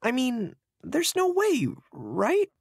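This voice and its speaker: A woman playing a high school student chatting with friends in an audio drama. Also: wildly swooping pitch, slow pace, vocal fry, slightly rough, no room sound, studio quality, full character voice. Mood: casual. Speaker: voice actor.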